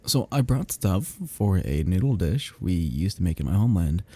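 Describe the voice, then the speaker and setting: A man acting as a husky, dark-voiced flirtatious vampire. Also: low voice, very animated, exaggerated voice. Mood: friendly, teasing. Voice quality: soft, husky, gravelly. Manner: halting, teasing, chuckling under menace. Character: male vampire, ancient, flirtatious and possessive, eerily casual. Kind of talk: intimate ASMR roleplay, addressing the listener.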